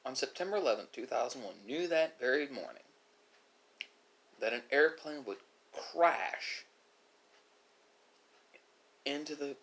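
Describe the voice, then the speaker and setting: A man speaks in a mid-range voice that rises and falls dramatically. He delivers a reflective, philosophical monologue, leaning on key words and leaving deliberate pauses between phrases. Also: medium pitch, animated, a bit bright, low-quality recording. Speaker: video creator.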